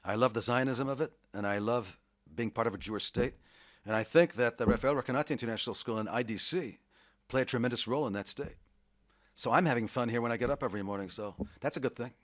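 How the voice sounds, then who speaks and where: A man's voice: mid-range voice, fast talker. A middle-aged man, a university vice president, talking enthusiastically and at length in a radio interview, with the odd restart. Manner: enthusiastic, talkative, sports metaphors, occasional restarts.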